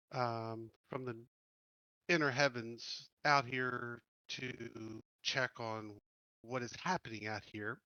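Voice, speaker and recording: A man playing a tabletop roleplaying game, speaking casually in character in a measured, halting, diplomatic way. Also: mid-range voice, sharp tone, clear, resonant tone, dry recording, highs cut off.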